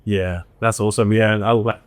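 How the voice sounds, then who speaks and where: A man hosting a podcast with a smooth, resonant, slightly low voice and a dry close sound. He chats casually, affirming his guest and using easy slang. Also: steady tone, moderate pace, no room sound. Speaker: podcast host. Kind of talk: casual interview chat.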